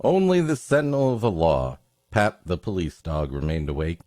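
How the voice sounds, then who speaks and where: A man's voice: sits low, wildly swooping pitch, moderate pace, a little mellow, dry recording, clean studio sound. A man hosting a comedy podcast, mixing casual joking banter with mock-florid, dramatic reading of a story.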